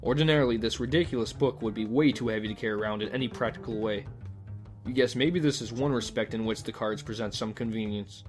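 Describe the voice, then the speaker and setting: A man's voice: fairly low pitch, fast. Dry male narrator over music. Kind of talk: scripted second-person story narration.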